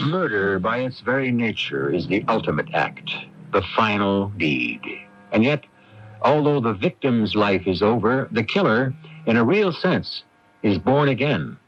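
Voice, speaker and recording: Solemn low-voiced man hosting radio mystery. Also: sing-song, a little hiss or hum. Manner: solemn, measured. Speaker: host. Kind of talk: scripted dramatic radio narration. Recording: dull lo-fi radio broadcast tape.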